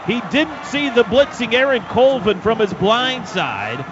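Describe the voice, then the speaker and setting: A man's voice: very high-pitched, sing-song, unhurried, over music, a crowd in the background. A man with an American accent calling a live football game on radio as the play-by-play announcer. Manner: shouts during big plays. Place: stadium radio booth. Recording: dull lo-fi radio, loud stadium noise.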